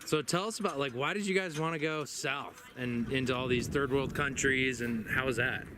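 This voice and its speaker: A man hosting a casual interview, relaxed and encouraging, prompting his guests along. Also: mid-range voice, moderate pace. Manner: relaxed, encouraging, lots of mm-hmms. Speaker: interviewer, channel host. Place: outdoors at an overland rally. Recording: outdoor recording with clear background noise.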